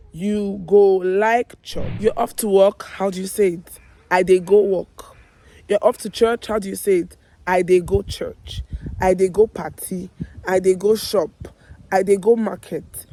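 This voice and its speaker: A woman with a Nigerian accent and a hoarse voice, teaching everyday phrases to viewers with slow, deliberate repetition. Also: mid-range voice, warm tone. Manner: addresses viewers directly, repeats phrases slowly and deliberately. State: hoarse, losing her voice. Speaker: online language teacher, video host. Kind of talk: language lesson with slow repeated example phrases.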